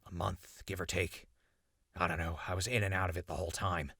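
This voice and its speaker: An actor playing a captured radio host in a post-apocalyptic audio drama, urgent and stubbornly hopeful, with a gravelly, husky voice. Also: male voice, low voice, flat delivery, a little fast, sharp tone, dry recording, studio quality, full character voice. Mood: grim explaining.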